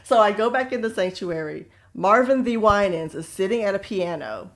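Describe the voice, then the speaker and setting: Woman co-host, lively anecdote teller, conversational delivery. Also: medium pitch, normal speed. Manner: animated, laughing storyteller. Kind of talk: casual storytelling chat.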